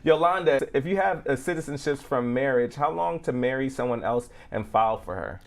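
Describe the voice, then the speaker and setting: A man's voice: medium pitch, lively intonation, fairly quick, vocal fry. A man co-hosting a casual question-and-answer show, reading viewer questions aloud and often stumbling and correcting himself.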